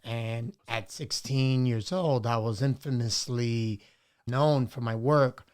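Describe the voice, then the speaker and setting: A man's voice: medium pitch, smooth. A man in his sixties from Puerto Rico, raised in New York, reflecting on his life as an interview guest. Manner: measured, reflective, with many fillers. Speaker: guest artist. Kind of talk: reflective storytelling interview answers.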